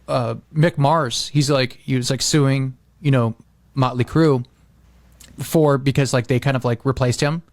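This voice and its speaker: A middle-aged American man chatting casually as a podcast host, rambling and repeating himself with animated emphasis. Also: mid-range voice, measured pace, dry recording.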